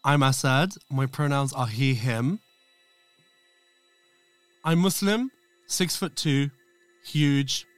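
A man narrates his own story as podcast host, camp and sassy with a playful bite. His voice sits in a middle pitch. Soft music hums faintly underneath. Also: slow pace, long pauses, no room sound. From England, east London. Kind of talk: scripted personal narration.

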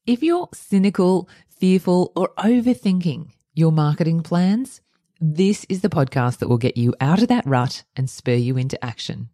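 A woman's voice: on the low side, expressive, moderate pace, mellow, no room sound, clean studio sound. A woman with an Australian accent hosting an interview podcast, presenting with enthusiasm. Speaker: podcast host and coach.

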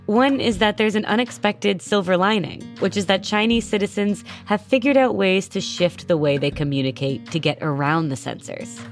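A woman host narrating a scripted story and interviewing a guest, with a measured explanatory delivery. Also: mid-range voice, lively intonation, soft background music.

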